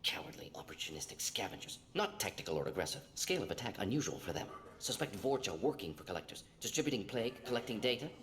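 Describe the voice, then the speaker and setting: Clever alien scientist, lowish voice, rapid telegraphic speech, matter-of-fact, game dialogue. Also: male voice, very animated, a bit bright. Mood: clinical, matter-of-fact. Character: brisk, clever alien salamander-like scientist doctor, ex-operative. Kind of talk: video game dialogue acting.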